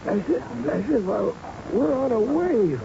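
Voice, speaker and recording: A man's voice: very high-pitched, lively intonation, a little slow, gravelly, muffled recording, crowd noise, exaggerated voice. An actor in his twenties playing a feverish, frightened young worker who is dying, weak and delirious in a radio drama. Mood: dazed, weak. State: weak, delirious, struggling to speak. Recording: noisy band-limited old radio drama recording.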